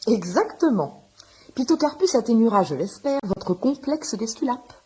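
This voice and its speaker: A wry, firm woman doctor in a French radio play. Her mid-pitched voice moves up and down animatedly as she lectures with dry irony. There is faint noise on the recording. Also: big pitch swings, darkish tone. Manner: measured, lecturing, dryly ironic. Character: firm, wry female doctor, patient but scolding. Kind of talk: French radio comedy drama acting.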